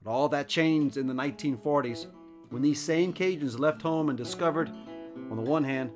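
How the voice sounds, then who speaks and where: A man hosting a podcast, reading a formal, measured scripted narration. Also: a little high. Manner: measured, formal storytelling delivery. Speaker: podcast host.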